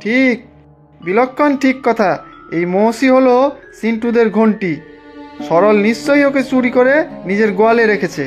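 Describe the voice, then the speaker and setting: Cartoon tea-stall uncle played by a woman: humble, folksy, exclamatory, slightly high voice. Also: moderate pace, long pauses, faint music behind, close to an everyday voice. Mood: assured. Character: humble, flustered village tea-seller uncle. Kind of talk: animated cartoon dialogue.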